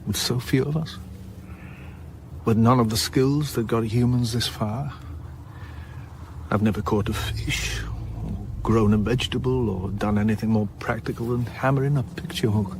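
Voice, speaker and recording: A man's voice: mid-range voice, steady tone, normal speed, slight background noise, close to an everyday voice. An actor playing a doubtful, self-deprecating male ex-official in a radio drama. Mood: doubtful, wry.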